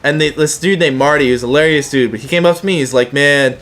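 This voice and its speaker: Higher-voiced young man telling casual stories. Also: fast talker, a bit bright. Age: young adult. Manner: slangy, profane, acts out quoted dialogue. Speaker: YouTuber telling personal stories. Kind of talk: casual anecdotal storytelling.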